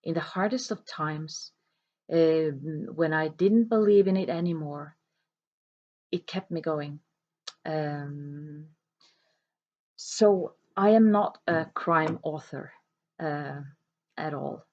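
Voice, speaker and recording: A woman whose first language is Norwegian, an author talking to camera in a slow, hesitant way with many pauses and fillers. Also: low voice, a little mellow, highs cut off. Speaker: self-published author. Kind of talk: reflective talk to camera.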